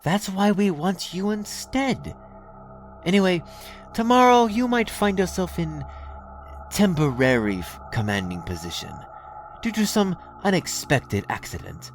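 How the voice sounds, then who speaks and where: An actor playing a sly, mocking alien raider lord in an audio drama, talking with mock politeness and theatrical menace. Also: male voice, high voice, sing-song, unhurried, soft background music, heavily acted. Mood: sly feigned innocence. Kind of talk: scripted audio drama acting.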